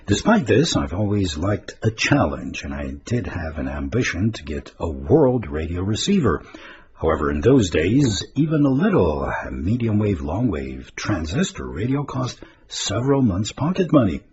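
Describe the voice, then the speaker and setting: A man's voice: low voice, sing-song, normal speed, crowd noise. A man presenting radio listener mail in a chatty, hesitant, meandering way, adding asides as he reads. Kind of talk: informal listener-mail segment, reading and commenting. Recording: dull lo-fi radio recording, faint noise.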